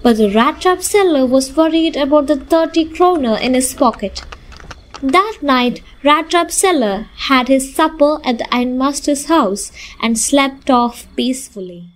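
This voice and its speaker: A woman's voice: high-pitched, lively intonation, a little slow, poor audio. A young woman, a student narrator, reading scripted story narration for a radio drama. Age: young adult.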